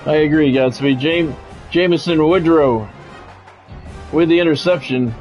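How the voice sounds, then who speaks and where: Higher-voiced man calling football play-by-play. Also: unhurried, a little mellow, soft background music. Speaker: sports video game streamer doing play-by-play. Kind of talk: football play-by-play commentary with casual reactions.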